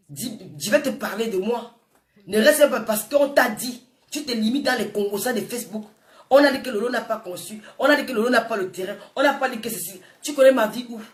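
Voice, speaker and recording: A woman in her forties with a Francophone African accent delivers an informal, impassioned monologue, scolding emphatically and repeating phrases for effect. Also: on the high side. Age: 40s. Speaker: social media personality addressing viewers. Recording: echoey room, distant microphone.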